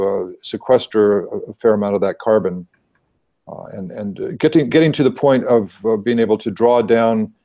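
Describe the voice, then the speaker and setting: Male presenter, lowish mellow voice, earnest and explanatory, on a video call. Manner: earnest, explanatory, thinking aloud. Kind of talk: presentation at an online meeting.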